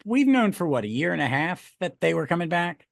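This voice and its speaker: A man's voice: fairly high pitch, very animated, brisk, gravelly, no echo, studio quality. A man chatting casually and informally as a guest on a podcast. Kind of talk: casual chat.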